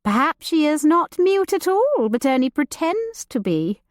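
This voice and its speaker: A woman's voice: high voice, sing-song, measured pace, no room sound, studio quality, voice pushed up. A woman voicing a flighty, romantic young noblewoman with a lilting, gossipy manner. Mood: playful.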